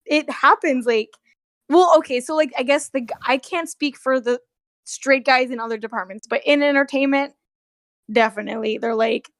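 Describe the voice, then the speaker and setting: Young woman, high voice, gossipy n sweary, lots of "like", dry sound. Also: normal speed, dry recording. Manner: sweary, slangy, heavy use of "like". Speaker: former theme park character performer telling stories. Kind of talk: casual gossipy storytelling.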